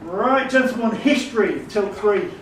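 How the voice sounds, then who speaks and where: A man's voice: sits high, lively intonation, measured pace. A man acting as quizmaster, reading quiz questions aloud. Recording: echoey room, distant mic, faint noise.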